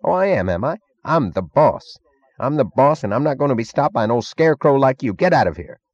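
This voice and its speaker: A man's voice: on the low side, sing-song, fast talker, dry recording, muffled recording. A man voicing a drunken, swaggering thug boss in an audiobook, belligerent and sneering with slangy bluster. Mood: snarling, bullying. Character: drunken swaggering thug boss, loud, defiant, secretly scared. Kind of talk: audiobook character acting.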